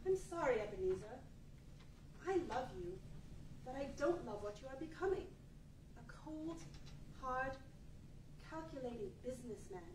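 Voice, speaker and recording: An actress playing a sorrowful, principled young fiancée in a radio play, speaking earnestly and deliberately. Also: female voice, high voice, lively intonation, background noise. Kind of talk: 1940s live radio play acting. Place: large meeting room with seated audience, far camera. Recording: echoey hall, distant microphone.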